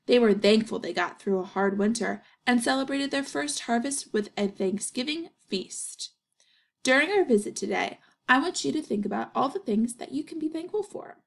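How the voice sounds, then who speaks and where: Storybook lady teacher, read by a woman, orderly and kind, instructive delivery with a creaky edge. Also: a little high, expressive, normal speed. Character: kindly, orderly woman schoolteacher addressing her class.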